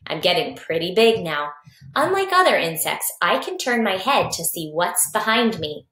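A small, cocky young praying mantis tells his storybook diary , read by a woman. He is playful and boastful, in short, expressive sentences. The sound is echoey, like a basement room. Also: lowish voice, moderate pace. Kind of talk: expressive children's storybook reading.

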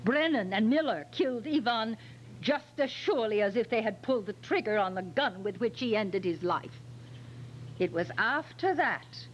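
An older woman playing a stern, commanding Russian woman out for revenge in a radio play, reading deliberately. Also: medium pitch, lightly acted, rough recording. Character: stern vengeful Russian woman posing as housekeeper. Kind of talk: radio play read from script. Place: function room, live staged reading. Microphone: stand microphone. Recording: faint noise and hum, old amateur tape.

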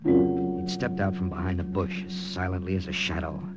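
An actor playing a terse, commanding American wartime intelligence captain with an American accent in an old-time radio drama. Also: male voice, deep voice, little pitch movement, normal speed. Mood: tense narrating. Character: hard-boiled American OSS captain narrating his wartime mission. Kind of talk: 1940s-50s radio drama narration and acting. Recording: vintage radio drama with music.

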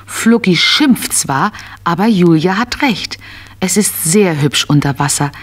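A woman narrating a scripted children's audio story in an expressive storytelling manner. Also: medium pitch.

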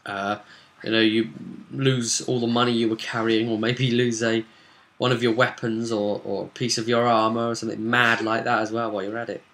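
Bright-voiced young man, halting and rambling. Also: mid-range voice, level tone. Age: young adult. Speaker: game master recapping a tabletop role-play session. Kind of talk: casual unscripted vlog recap. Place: small home room, indoors.

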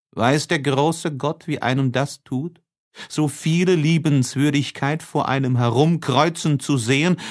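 A man playing a tormented, lovesick young man in an audiobook of a classic novel, emotive and halting, with heavy pauses. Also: mid-range voice, a little mellow, lightly acted. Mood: frustrated desire. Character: tormented, lovesick young man writing despairing diary letters.

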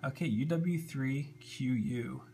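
Male hobbyist demonstrator, mid-pitched with vocal fry, offhand unscripted delivery, faint room noise. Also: takes it slowly, a little mellow. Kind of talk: casual unscripted tutorial narration. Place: indoors at a computer desk. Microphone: handheld camera, a little off the screen. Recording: faint background hum and noise.

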